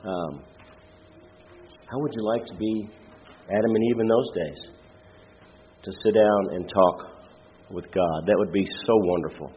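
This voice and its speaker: A man preaches informally to a congregation in a lowish voice, stuffed up and nasal from a cold. He speaks plainly and haltingly, as a lay speaker does. The recording is a little dull and muffled. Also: a little hiss or hum. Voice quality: nasal, congested. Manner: halting, hesitant, plain-spoken. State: has a head cold. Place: church, speaking before a congregation.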